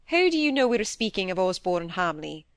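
A woman's voice: fairly low pitch, very animated, brisk, no room sound. Woman voicing a stern, commanding husband. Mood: pressing. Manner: clipped, insistent, grave. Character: stern, commanding middle-aged husband, coldly interrogating.

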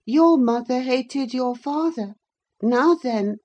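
A woman's voice: high voice, slow, darkish tone, voice pushed up. A woman voicing a sickly, spoiled boy in an audiobook, petulant, needy and spiteful. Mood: spiteful, taunting. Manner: petulant, wheedling. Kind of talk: dramatised audiobook reading of a classic novel.

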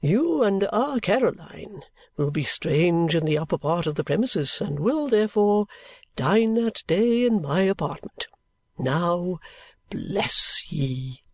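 A theatrical old father from a storybook, acted out by a woman, pompous and grandly self-satisfied. He speaks very slowly, stretching each phrase with ponderous dignity. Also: on the low side, expressive, a little mellow, husky, dry recording, heavily acted. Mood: stately, condescending. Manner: grandiose, ponderous, drawn-out delivery. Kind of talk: audiobook character acting.

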